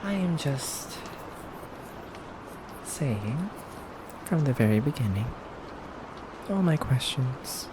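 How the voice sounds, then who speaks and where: A man playing an aloof, condescending male vampire in an ASMR roleplay, measured and halting, often dropping toward a breathy whisper. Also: medium pitch, big pitch swings, noisy background. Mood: aloof, stern. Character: aloof, condescending, elegant femboy vampire interrogator. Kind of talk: slow, soft ASMR roleplay monologue.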